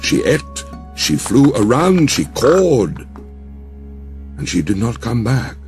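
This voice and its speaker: A male narrator reads a dramatized epic in a solemn, theatrical manner. His pace is measured and his pitch swings widely, with music clearly heard behind him. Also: very animated, a little mellow. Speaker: audiobook narrator.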